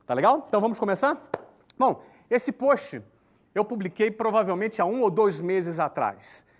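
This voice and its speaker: A man's voice: high voice, normal speed, slight background noise. A man in his forties giving a conversational talk, often checking in with tag questions and listing points in an emphatic rhythm. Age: 40s. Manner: emphatic, lists steps in a lively rhythm. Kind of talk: recorded talk to an online audience.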